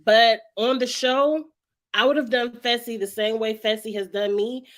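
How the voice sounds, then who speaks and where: Raspy-voiced woman vlogger chatting frankly. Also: fairly high pitch, steady tone, moderate pace. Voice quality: raspy, heavy voice. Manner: chatty, frank, greets live viewers, clears throat often. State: hoarse. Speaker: vlog host. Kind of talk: casual reality-TV commentary chat.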